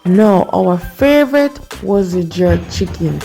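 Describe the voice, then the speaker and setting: A woman vlog host giving a relaxed recap to camera. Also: on the low side, slow, vocal fry, music in the background. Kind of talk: wrap-up to camera.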